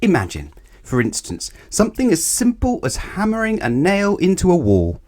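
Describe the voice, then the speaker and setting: A man's voice: high voice, wildly swooping pitch, normal speed, a little mellow. A man reading a children's picture book aloud expressively, speaking directly to young listeners. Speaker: storyteller.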